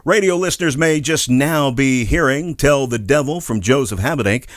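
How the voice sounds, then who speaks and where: A man in an average pitch hosts a music news show, reading scripted updates in an upbeat, friendly manner. His voice is recorded close and dry. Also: moderate pace, no echo.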